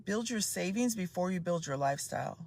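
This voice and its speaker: A speaker in their fifties recites life advice as a calm run of short, quotable lines. The voice has a gravelly, creaky edge. The delivery stays even and measured throughout. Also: medium pitch. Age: 50s. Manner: steady, aphoristic, list of short maxims.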